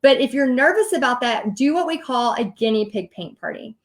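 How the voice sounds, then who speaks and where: A woman's voice: a little high, lively intonation, fairly quick. A middle-aged woman with a Texas accent giving an informal online coaching talk in a chatty, storytelling manner full of asides. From Texas, United States. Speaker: host and paint party teacher.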